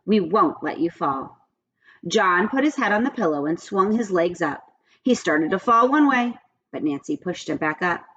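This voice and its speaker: Animated, slightly husky woman reading a story. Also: medium pitch, normal speed, lo-fi sound. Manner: friendly, addressing children directly. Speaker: read-aloud teacher. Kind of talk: expressive children's story reading.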